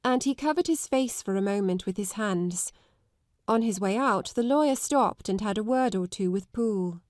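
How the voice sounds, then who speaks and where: A woman giving a measured, articulate narration of a literary audiobook. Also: mid-range voice, lively intonation, darkish tone, no room sound, studio quality.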